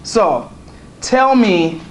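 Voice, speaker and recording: Host, man, high-pitched and animated, slight noise. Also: drawn-out words, low-quality recording. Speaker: host, health coach. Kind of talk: casual health interview.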